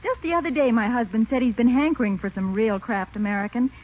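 Actress as housewife, tinny old radio. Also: female voice, fairly high pitch, fast talker, lightly acted. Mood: pleased. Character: chatty housewife in a cheese advertisement. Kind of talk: 1940s radio ad acting.

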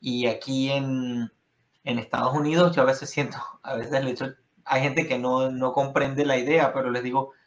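A man's voice: medium pitch, flat delivery, normal speed, lo-fi sound. Rambling Venezuelan man, echoey room. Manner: rambling, many restarts and fillers. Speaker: podcast host. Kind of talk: casual interview chat. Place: Florida.

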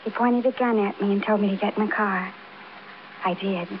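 An actress playing a childlike, defiant housewife confessing in a naive, matter-of-fact way, in 1950s radio crime drama style. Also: female voice, mid-range voice, steady tone, a little fast, natural voice. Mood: wistful, detached. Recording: dull, noisy old radio broadcast.

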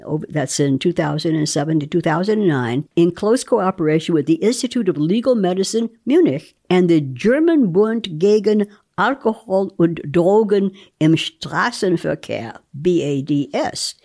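Woman, lowish pitch, very animated intonation, deliberate articulate reading, wry off-the-cuff asides. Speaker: guest reader and commentator. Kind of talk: dramatic reading of research papers with spontaneous asides.

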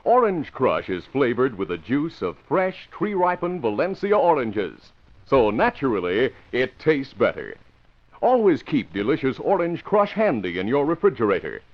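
A man's voice: mid-range voice, wildly swooping pitch, moderate pace. A man announcing and narrating in an emphatic, theatrical vintage radio style. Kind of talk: 1950s radio announcing, dramatic scripted narration. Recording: noisy, dull vintage radio recording.

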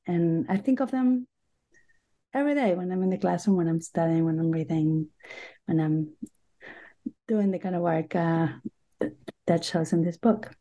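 A middle-aged woman from Argentina, a professor talking about her work in an interview, with many pauses and fillers. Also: deep voice, mellow. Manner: hesitant, many ums and uhs. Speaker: guest author, professor.

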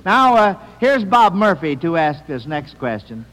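Animated high-voiced man hosting old radio quiz. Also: unhurried. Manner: folksy, encouraging, talking to children. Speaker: quiz show host. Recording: old radio recording, reverberant hall, background noise.

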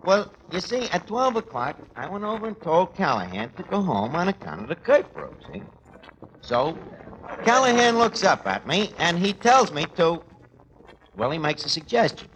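Through the noise of an old radio comedy comes a high-voiced, streetwise tavern manager. He has a New York accent and very animated intonation, talks at length in bad grammar and mangles fancy words. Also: normal speed. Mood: indignant storytelling. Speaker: comic lead of a radio comedy. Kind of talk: 1940s radio comedy acting. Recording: noisy old 1940s radio recording.

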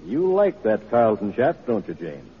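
Husky, kindly father. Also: lowish voice, wildly swooping pitch, measured pace, full character voice. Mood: fond, knowing. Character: kindly, gently probing father of grown daughter. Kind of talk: 1940s radio drama acting. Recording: dull vintage radio recording.

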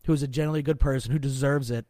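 A man's voice: mid-range voice, fairly even intonation, rapid-fire, mellow, no echo. Laid-back man on a dry mic. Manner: laid-back, swears freely, rambling. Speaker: podcast co-host. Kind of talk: casual profane chat.

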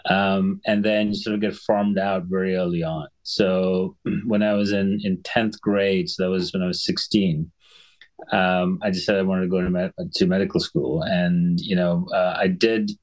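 A middle-aged man from Sri Lanka, a physician guest in a conversational interview, talking in a measured, explanatory way and often clearing his throat. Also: deep voice, little pitch movement.

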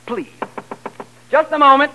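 An actor playing a flustered, stammering husband caught between two women in a 1940s radio comedy. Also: male voice, very high voice, a little slow, phone-quality sound, a little hiss or hum. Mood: scheming, pleading. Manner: stammering, excitable, pleading.

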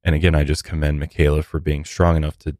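A man hosting a true crime podcast, telling the case and adding earnest, measured comments with a few fillers. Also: a bass voice, flat delivery, darkish tone, dry recording, studio quality. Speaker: podcast host.

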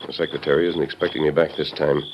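A commanding masked hero of an old radio western. His deep voice is firm and reassuring. The recording is dull, with some noise. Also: little pitch movement, fast. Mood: calm, decisive. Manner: firm, crisp, reassuring authority. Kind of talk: 1950s radio western acting.

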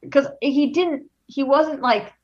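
Loud, passionate woman with a dull sound. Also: high voice, a little slow. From Boston. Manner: loud, passionate, swears freely. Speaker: co-host. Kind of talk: casual chat.

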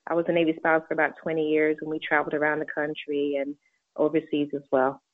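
A woman's voice: low-pitched, monotone, fast. A middle-aged woman from England with a mostly American accent and faint British traces, answering interview questions. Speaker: podcast guest, realtor. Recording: tinny narrowband phone line.

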